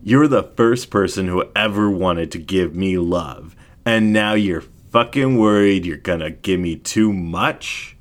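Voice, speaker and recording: A man's voice: sits low, a little slow, few pauses. A man voicing a downtrodden, earnest dingo drifter in a story, blunt, muttering and colloquial. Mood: pleading.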